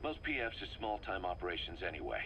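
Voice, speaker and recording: A man's voice: low voice, wildly swooping pitch, quick pace, gravelly, bright, forceful tone, slight background noise, exaggerated voice. An actor playing a bitter middle-aged mercenary commander in a video game, briefing his boss in a terse, intense way. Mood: assured, businesslike. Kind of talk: dramatic video game acting, expository briefing.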